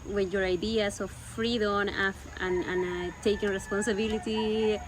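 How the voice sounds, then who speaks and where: A woman's voice: mid-range voice, little pitch movement, moderate pace, slight background noise, rough recording. Woman, searching for words. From Peru. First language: Spanish. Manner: searching for words, repeating herself. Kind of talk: casual conversation.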